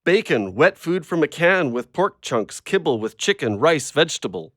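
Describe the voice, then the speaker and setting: A man's voice: fairly high pitch, very animated, normal speed, fairly bright tone, dry recording, studio quality, heavily acted. A man playing a blunt, guarded, literal-minded dog owner in an audio drama, with an abrupt manner.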